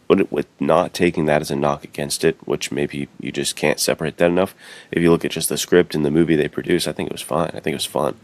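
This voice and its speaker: A man's voice: extremely low pitch, fairly even intonation, fast. A man co-hosting a podcast, chatting casually and musing through his opinions. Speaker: podcast co-host. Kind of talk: casual chat.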